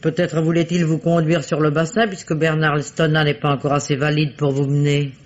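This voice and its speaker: An actress playing a fussy, chattering housekeeper who worries, in a 1950s radio drama. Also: female voice, sits low, little pitch movement, a little fast. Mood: fussing. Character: fussing, worried female housekeeper. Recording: muffled old radio recording with faint hiss.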